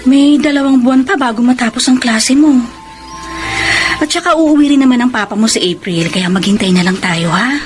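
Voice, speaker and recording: A woman's voice: a little high, brisk, natural voice. A woman with a Filipino accent playing a patient, lonely wife and reassuring mother in a radio drama. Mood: gentle, reassuring. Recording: radio drama with loud music underneath.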